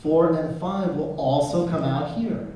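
A young man hosting a convention panel at a table mic, brisk and energetic as he hands off to other speakers. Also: on the high side, heavy background noise. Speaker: panel host. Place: large convention hall. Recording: echoey hall, taped from far off.

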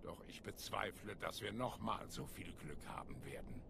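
Game military commander, alien, gravelly and resolute, solemn delivery over noisy ambience. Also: male voice, on the low side, animated. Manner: solemn, measured. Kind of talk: dramatic game cutscene acting. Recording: game ambience and noise behind voice.

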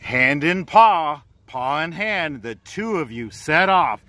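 A man reading a children's storybook aloud as narrator, with deliberate, dramatic phrasing. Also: on the high side, animated, sharp tone. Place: outdoors on a park trail.

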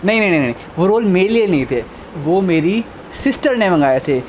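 A man's voice: sits high, animated, fairly quick, a little hiss or hum. A young man chatting casually to viewers in a vlog, upbeat and talking straight to them, filmed on a phone held at arm's length. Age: young adult. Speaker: vlogger. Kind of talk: casual vlog chat to camera. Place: home room, indoors.

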